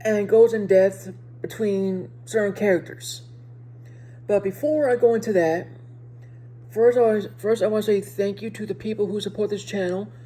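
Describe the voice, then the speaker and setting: A woman with a speech impediment giving a casual video review to viewers, speaking haltingly and often repeating and rephrasing her words. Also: mid-range voice, steady tone, mellow, slightly rough, rough recording.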